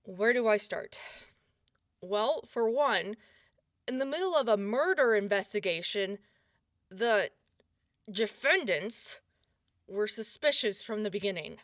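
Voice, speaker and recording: An actress playing a blunt, suspicious sheriff, giving firm, plain-spoken testimony in an audio drama. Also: female voice, mid-range voice, animated, slow pace, long pauses, dry recording, studio quality. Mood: wary, firm. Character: blunt, suspicious woman sheriff of a wasteland town.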